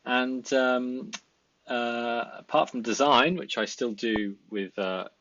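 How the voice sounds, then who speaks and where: A man's voice: medium pitch, steady tone, takes it slowly, fairly bright tone, lo-fi sound. A Welsh man in his thirties, a designer and part-time founder, talking casually about himself with lots of fillers and restarts. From Wales. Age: 30s. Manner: rambling, many fillers and restarts. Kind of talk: casual self-introduction vlog. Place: indoor home room at a desk.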